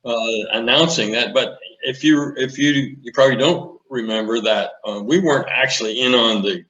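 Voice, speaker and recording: A man in his eighties reminiscing in an interview, speaking in a measured, deliberate way. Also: mid-range voice, a bit bright, muffled recording. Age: 80s. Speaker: interview guest, retired naval commanding officer. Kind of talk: conversational reminiscence. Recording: echoey room sound.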